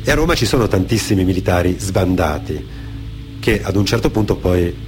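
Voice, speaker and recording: A man's voice: sits low, animated, fairly quick, a little mellow. A man from Genoa performing dramatic radio storytelling, in a reflective manner that sometimes halts and repeats phrases. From Genoa, Italy. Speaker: theatre storyteller, author-performer on a radio theatre programme. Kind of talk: dramatic storytelling, partly off-the-cuff anecdotes and partly read testimony. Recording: music behind the voice. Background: music.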